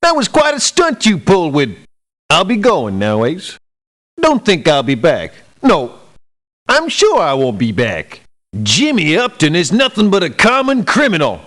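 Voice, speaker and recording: Slow-talking, sardonic mercenary. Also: male voice, high-pitched, huge pitch swings, a bit bright, full character voice. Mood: indignant, fed up. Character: disgruntled, streetwise male mercenary, blunt and sardonic. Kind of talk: video game character lines.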